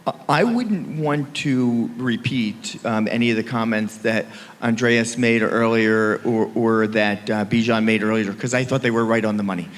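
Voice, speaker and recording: A man on a conference panel, speaking emphatically and punching key phrases. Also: fairly low pitch. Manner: emphatic, punchy, speeds up and slows down for stress. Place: conference hall. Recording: reverberant hall.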